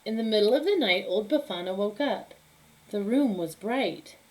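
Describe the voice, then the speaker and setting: A woman reads a children's story aloud like a teacher with her class. Her delivery is animated and emphatic, shaped for young listeners. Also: medium pitch, normal speed, a little hiss or hum, poor audio. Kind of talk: expressive children's story reading.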